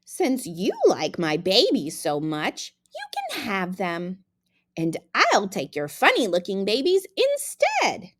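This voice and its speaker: A woman voicing a clever, plucky mother hen in a children's storybook, with emphatic, drawn-out exclamations. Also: mid-range voice, huge pitch swings, exaggerated voice. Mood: sly, friendly. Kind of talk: acted children's storybook read-aloud.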